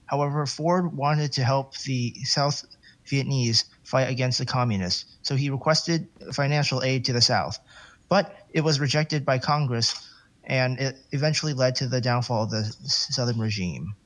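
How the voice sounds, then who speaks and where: A man's voice: mid-range voice, moderate pace, fairly bright tone, poor audio. A man reading a scripted educational narration for a documentary.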